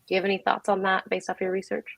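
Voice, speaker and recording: A woman's voice: mid-range voice, completely flat delivery, rapid-fire, creaky, no room sound. A woman hosting an interview in a casual, informal way, relaying questions from the audience. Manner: informal, chuckles often. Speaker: host.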